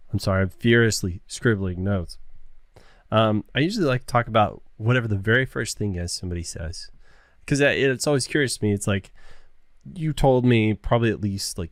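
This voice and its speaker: A man's voice: lowish voice, dry recording, studio quality. A man hosting a podcast interview, easygoing and self-deprecating in manner. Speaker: podcast host.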